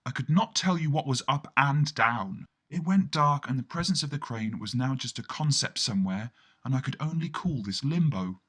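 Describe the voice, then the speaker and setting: A man's voice: mid-range voice, animated, normal speed, hardly any pauses, a bit bright. A man performing a surreal first-person radio play monologue in a theatrical way, with colloquial asides and sudden exclamations. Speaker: storyteller narrating in first person.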